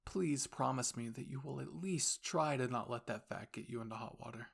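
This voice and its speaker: A man acting a composed, creaky-voiced young boyfriend. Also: mid-range voice. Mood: quietly affectionate. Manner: measured, formal, gently reassuring phrasing. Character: composed, formal young male university student, caring boyfriend. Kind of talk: intimate audio roleplay addressed to the listener.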